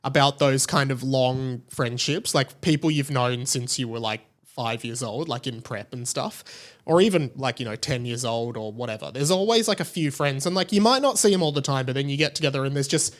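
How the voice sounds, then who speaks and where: A man's voice: medium pitch, animated, a little fast. A young Australian man hosting a solo podcast, chatting casually in a rambling, self-deprecating way. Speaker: podcast host.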